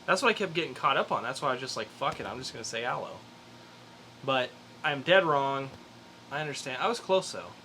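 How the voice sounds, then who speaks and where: A man's voice: mid-range voice, expressive, a little fast, sharp tone, slight background noise. A man co-hosting a podcast in a casual, chatty style. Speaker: podcast co-host. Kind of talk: casual chat with a quiz segment.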